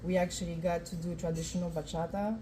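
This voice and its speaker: A woman from France, a guest dancer telling her story in a relaxed way, with many "you know" and "um". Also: fairly low pitch, fast talker. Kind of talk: casual interview talk. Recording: faint event noise.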